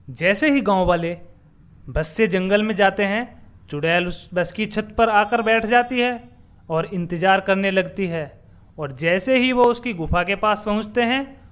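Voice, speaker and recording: A man's voice: sits high, fairly bright tone, smooth. A man narrating a scripted children's story as the storyteller.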